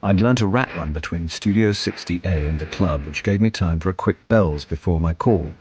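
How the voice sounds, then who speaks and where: A man's voice: deep voice, moderate pace, over music, natural voice. An actor playing a wry, jaded middle-aged man narrating his misadventures in a radio drama, dry and self-mocking. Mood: sardonic.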